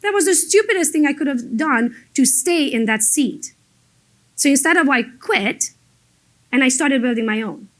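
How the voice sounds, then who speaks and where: High-voiced woman coach. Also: lively intonation, normal speed. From abroad, emigrated to the United States as an adult. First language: not English. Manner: blunt, emphatic, conversational storytelling with mild swearing. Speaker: motivational business coach. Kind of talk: informal motivational training talk to a group.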